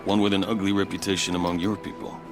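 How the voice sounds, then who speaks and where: A man playing a dutiful, proud veteran captain in a video game, speaking in a formal, earnest and measured way. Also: low voice, level tone, close to an everyday voice. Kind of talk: video game dialogue acting. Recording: background music under the voice.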